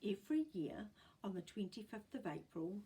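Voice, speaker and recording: A woman with a New Zealand accent reading a children's story aloud in an expressive storytelling style. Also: medium pitch, normal speed, a little hiss or hum.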